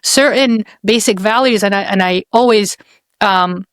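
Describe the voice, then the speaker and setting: A woman's voice: mid-range voice, unhurried, dry recording. Middle-aged woman, interview host. Earnest, reflective, mid-range voice, dry recording. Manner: earnest, reflective, repeats and restarts phrases. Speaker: podcast host. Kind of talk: casual interview conversation.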